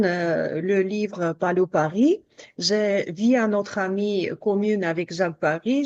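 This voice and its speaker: A woman's voice: mid-range voice, darkish tone, lo-fi sound. A woman from Armenia, a native Armenian speaker, talking carefully as a guest on a radio show, with a light laugh now and then. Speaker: translator.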